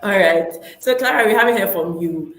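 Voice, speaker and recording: A woman's voice: medium pitch, normal speed. Upbeat woman hosting a webinar. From Ghana. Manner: upbeat, repeats key points, laughs easily. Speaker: webinar host. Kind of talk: live webinar moderation. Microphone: online call mic. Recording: echoey room, faint noise.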